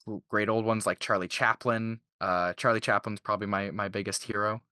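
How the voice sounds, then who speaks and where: Male interview guest, informal and keen, crisp forward tone, very dry sound. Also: lowish voice, level tone, a little fast, sharp tone, no room sound. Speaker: interviewed voice actor. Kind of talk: casual interview chat.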